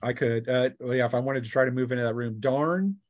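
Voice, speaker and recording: A man's voice: medium pitch, fast talker, dry recording, clean studio sound. Male game master, mid-range voice, informal table talk, narrating combat and rulings to players. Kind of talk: running a tabletop role-playing game.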